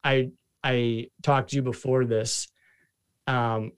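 A man's voice: medium pitch, flat delivery, takes it slowly, clear, resonant tone. A young man with a heavy Boston accent hosting a podcast, talking casually and saying "super" and "like" a lot. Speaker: podcast host. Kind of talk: casual chat.

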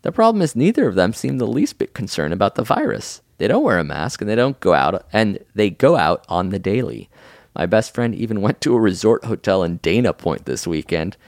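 A man's voice: on the low side, sing-song, fairly quick, no echo, studio quality. A man in his thirties co-hosting a podcast in a casual, comedic chat, teasing his co-host, laughing often, and reading out a listener email. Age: 30s.

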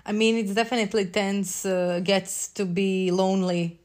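A woman from Croatia hosting a podcast, friendly and quick to laugh as she interviews her guest. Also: mid-range voice, level tone. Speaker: podcast host.

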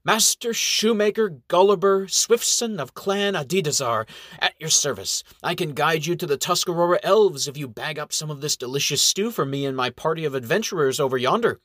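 A man's voice: fairly high pitch, lively intonation, normal speed, a bit bright, no room sound, studio quality, voice pushed up. A man doing a wily old elf haggler. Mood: cheeky. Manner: cheeky, wheedling, merchant charm.

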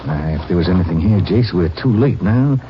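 An actor playing a gruff, skeptical small-town sheriff in a 1950s radio drama, folksy and blunt. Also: male voice, deep voice, expressive, a little fast. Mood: puzzled, suspicious. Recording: muffled, noisy old-time radio recording.

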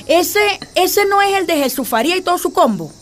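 An exasperated Venezuelan mother with a high voice, fretting and scolding with affection. She speaks in a radio drama style, over faint background noise. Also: animated, a little fast. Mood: worried, pleading.

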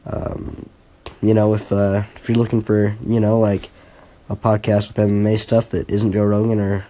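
A man's voice: lowish voice, little pitch movement, moderate pace, dark tone, low-quality recording. A teenage boy hosting his first solo podcast, talking casually and hesitantly with many fillers and restarts, earnest and a little self-conscious. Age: teens. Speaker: podcast host.

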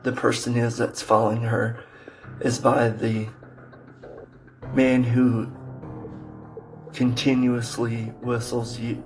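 A man introduces podcast episodes at a slow, halting pace, breaking his sentences into short phrases. His pitch stays fairly flat and mid-range. Faint music plays behind him. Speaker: podcast host.

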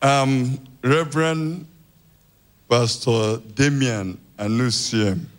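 Casting: male Nigerian pastor as congregant, very slow and halting, smooth clean voice, stating his case plainly. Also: medium pitch. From Imo State, Nigeria. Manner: halting, word by word. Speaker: pastor giving testimony as a congregant.

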